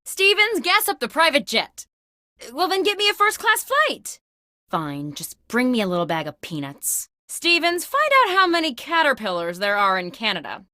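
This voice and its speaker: A breezy woman manager for a comedy video game, full of smug corporate pep. Her voice sits fairly high and swings with lively intonation. The sound is crisp and dry, close to the mic. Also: dry recording, exaggerated voice. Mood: bossy, offhand. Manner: peppy, smug, brisk mock-corporate patter. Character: breezy, self-important corporate woman manager running job interviews.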